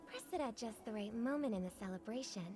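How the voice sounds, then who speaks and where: A woman's voice: on the high side, animated, fast talker, darkish tone, husky. A woman voice actor playing a graceful young singer in an anime game, polite, formal and composed, secretly a trickster. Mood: gracious, secretive. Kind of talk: scripted anime game dialogue. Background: game music.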